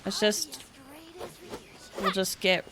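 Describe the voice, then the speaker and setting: A woman's voice: mid-range voice, expressive, slow, background noise. A woman live streaming a game with casual, upbeat commentary, chirpy and often repeating her own phrases.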